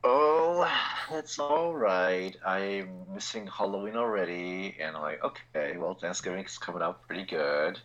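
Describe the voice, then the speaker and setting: A man in his forties co-hosting a podcast, laid-back and quick to giggle. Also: deep voice, a bit bright, lo-fi sound. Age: 40s. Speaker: podcast co-host. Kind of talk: casual podcast chat.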